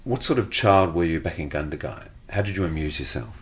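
A man conducting an interview, asking measured, formal questions. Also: very deep voice, expressive, a little hiss or hum.

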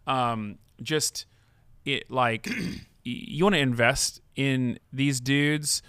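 A man's voice: mid-range voice, takes it slowly, fairly bright tone, smooth, no room sound. A male pastor co-hosting a podcast, talking casually and enthusiastically with slang. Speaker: pastor, co-host.

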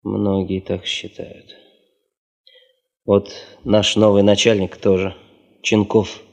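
Radio play: dry, unhurried traveller, lowish voice, long hall reverb. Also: male voice, flat delivery, creaky. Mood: wry, informative. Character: dry, unhurried male traveller describing his formidable new boss. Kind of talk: Soviet radio play acting.

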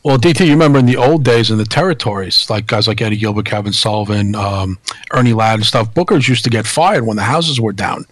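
Rapid, rambling male co-host. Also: lowish voice, dry recording. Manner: rapid, rambling, with fillers and profanity. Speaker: podcast co-host. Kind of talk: casual wrestling podcast chat.

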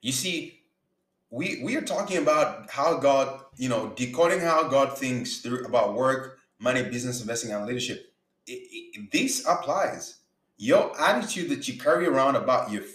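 A man's voice: medium pitch, animated, normal speed, a bit bright. A man from Uganda giving an earnest motivational talk about faith and business, repeating words for emphasis. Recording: roomy echo.

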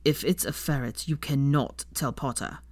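A woman voicing a stiff, proud young wizard in a low, serious tone. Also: normal speed, no echo. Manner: low, flat, serious delivery.

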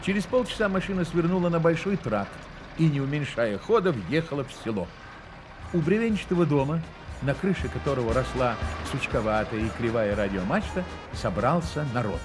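A man's voice: medium pitch, animated, brisk, close to an everyday voice. A man acting as the wry author-narrator of a satirical radio play, reading scripted narration. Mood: wry, storytelling. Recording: narration with music behind.

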